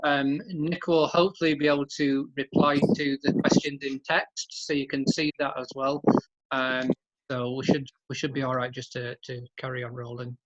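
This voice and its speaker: A man hosting an online workshop over a video call, hesitant and halting with frequent uhs. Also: mid-range voice, level tone. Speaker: workshop organiser. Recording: dull lo-fi video call audio.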